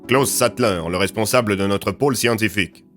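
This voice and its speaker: Man as a bright-edged, defensive agency commander. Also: fairly low pitch, a little fast, soft background music, lightly acted. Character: defensive male agency commander, bristling at rivals.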